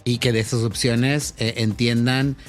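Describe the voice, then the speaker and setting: A man's voice: medium pitch, fairly even intonation, measured pace, soft background music. A middle-aged man from Argentina with an Argentine accent, a marketing executive answering a podcast interview in a thoughtful way, with many fillers and restarts.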